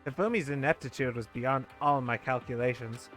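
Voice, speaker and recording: A man's voice: mid-range voice, moderate pace, a bit bright, faint music behind, close to an everyday voice. A man reading a proud teenage girl gambler's lines from a game in a casual, lightly dramatic way.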